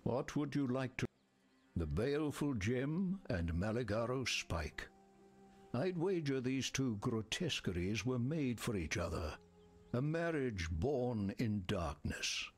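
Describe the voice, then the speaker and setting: An actor playing a grave, commanding counsellor in a dark fantasy video game, with terse, ominous, ornate phrasing. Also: male voice, mid-range voice, big pitch swings, takes it slowly, husky, soft background music, exaggerated voice. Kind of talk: video game character dialogue.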